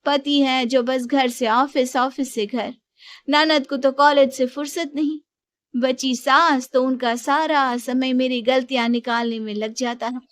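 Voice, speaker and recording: A woman's voice: high voice, steady tone, a little slow, no room sound. A woman playing a boastful, quarrelsome young daughter-in-law in a cartoon family drama, whiny, sarcastic and self-pitying. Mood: aggrieved complaining.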